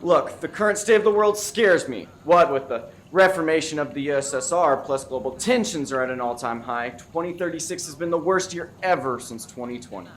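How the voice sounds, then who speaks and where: A man's voice: a little high, fairly quick, hardly any pauses, fairly bright tone, a little hiss or hum, close to an everyday voice. A man playing an anxious, gloomy diner regular in a comic radio play. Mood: agitated worry.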